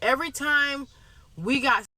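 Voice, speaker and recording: A woman from Somalia speaks with a bright, forward voice that cuts through clearly. She delivers an emphatic monologue and keeps circling back to restate her point. The sound is dry and close, with no room around her. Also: high-pitched, a little slow, dry recording, rough recording. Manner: emphatic, insistent, repeats points for stress. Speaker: video commentator. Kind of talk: impassioned monologue.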